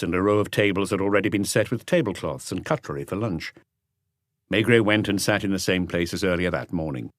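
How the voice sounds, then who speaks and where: A man's voice: fairly low pitch, no echo, studio quality. A man reading a mystery novel as an audiobook narrator in a scripted storytelling style.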